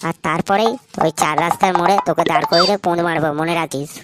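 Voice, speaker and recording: A woman's voice: fairly low pitch, steady tone, fast, background noise, close to an everyday voice. A woman voicing foul-mouthed, cocky young village men who taunt and swear in a comedy cartoon. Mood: angry taunting.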